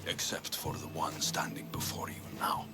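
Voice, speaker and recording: An actor playing a bold, wry mercenary warrior in a video game, with a terse, cool delivery. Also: male voice, extremely low pitch, level tone, normal speed. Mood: bold, cryptic. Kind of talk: dramatic video game voice acting. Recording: loud music behind. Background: cinematic music.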